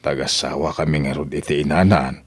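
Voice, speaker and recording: A man playing a very deep-voiced elderly Ilocano father. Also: fairly even intonation, brisk, breathy edge. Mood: earnest, tender. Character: elderly father, devoted and sentimental family man. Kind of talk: Ilocano audio drama acting.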